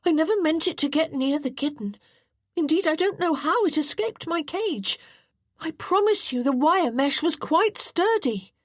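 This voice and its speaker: A woman's voice: sits high, animated, normal speed, no echo, clean studio sound, pitched above the performer's own voice. A woman doing a prim, eager young boy. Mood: pleading. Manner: precise, formal and proper. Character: eager, earnest well-bred young boy.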